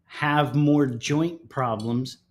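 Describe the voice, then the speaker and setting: A middle-aged man co-hosting a comedy morning show. He talks in a loose, joking way and often puts himself down. His pitch sits in an ordinary range for casual banter. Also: very slow, a little hiss or hum. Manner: joking, self-deprecating.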